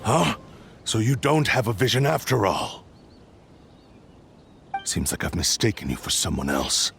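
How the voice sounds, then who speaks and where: A man's voice: fairly low pitch, very animated, a little slow, gravelly, noisy background, exaggerated voice. An actor playing a cold, haughty wandering swordsman in a video game, speaking in a curt, dismissive way. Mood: probing.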